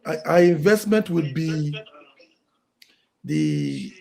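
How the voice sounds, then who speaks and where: A man's voice: on the high side, huge pitch swings, slow pace, mellow. A man on a panel, pressing another speaker with pointed questions. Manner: probing, questioning. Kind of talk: panel debate.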